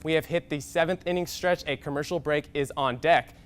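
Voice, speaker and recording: A young American man in his twenties anchoring a scripted TV sportscast with game highlights. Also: fairly even intonation, fairly quick, a little hiss or hum. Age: 20s.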